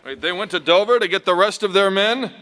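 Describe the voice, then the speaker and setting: An actor playing a heroic masked Western lawman, calm and commanding, giving steady instructions in live radio-drama style. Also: sits high, a little fast.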